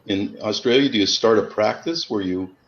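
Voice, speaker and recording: A man's voice: on the low side, normal speed. A man in his fifties hosting a casual interview over a video call, talking in an informal, rambling way. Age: 50s. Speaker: host. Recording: dull lo-fi audio with background noise.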